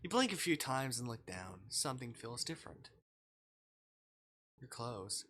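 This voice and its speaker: A man with a bright, forward voice and medium pitch reads a visual novel aloud as a streamer. His narration is dramatic. There is faint noise in the background. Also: very animated, measured pace. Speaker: game streamer.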